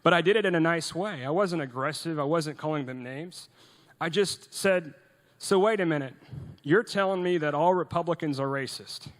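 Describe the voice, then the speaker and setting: A young man from Norway, addressing a crowd with earnest conviction and fairly high pitch. He stumbles over restarts and throws in rhetorical questions. His voice rings with the long reverb of a large hall. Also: a bit bright. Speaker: event speaker. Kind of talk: rousing speech to a youth audience.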